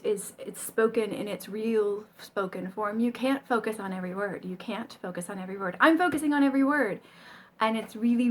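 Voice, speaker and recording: A middle-aged American woman chatting casually to camera about learning Chinese, rambling and self-deprecating, with frequent ums and little laughs. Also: mid-range voice. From United States.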